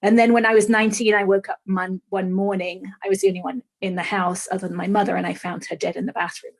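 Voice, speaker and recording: A woman's voice: mid-range voice, level tone, fast talker, gravelly. Older woman, mid-Atlantic accent with an English trace, podcast guest, candid, reflective, hesitant, video call mic. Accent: mid-Atlantic, slightly English. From England. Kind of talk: podcast interview. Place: small room with purple light. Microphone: video call, dark mic in front.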